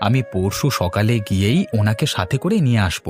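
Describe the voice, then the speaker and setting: A man's voice: lowish voice, lively intonation, brisk, lightly acted. A man voicing a hardworking village fan seller and family man in a cartoon, earnest and polite. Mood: hopeful. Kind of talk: cartoon voice acting. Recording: music playing behind the voice.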